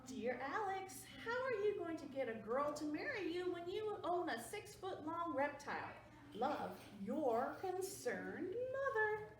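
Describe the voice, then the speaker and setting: A woman's voice: very high voice, sing-song, unhurried, voice pushed up, exaggerated voice. A woman voicing a patient mother who answers her son's letters with dry teasing, read aloud from a picture book. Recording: roomy sound from a distance.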